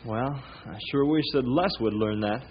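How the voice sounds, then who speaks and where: An American actor playing a loyal, plain-talking young ranger sidekick in old-time radio drama style, folksy, chatty and good-natured. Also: male voice, medium pitch, normal speed, close to an everyday voice. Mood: chatty, opinionated. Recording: muffled, noisy old radio recording.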